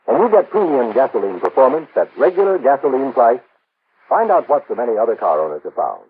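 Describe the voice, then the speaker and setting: A man's voice: medium pitch, very animated, a little fast. An American man announcing from a script in the style of 1960s radio. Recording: narrow-band, noisy old radio broadcast.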